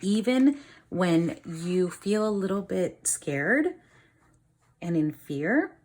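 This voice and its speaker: A woman's voice: fairly low pitch, very animated, darkish tone. A woman teacher reading a picture book aloud to children, stopping to ask questions and explain things in simple words. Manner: instructive, pausing to question and explain simply to young listeners.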